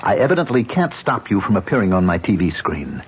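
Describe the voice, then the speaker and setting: A man's voice: low-pitched, very animated, a little fast, slightly rough, heavily acted. An actor playing a haunted male actor who is defensive and exasperated, in a radio drama. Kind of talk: 1970s radio drama acting. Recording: lo-fi old radio broadcast.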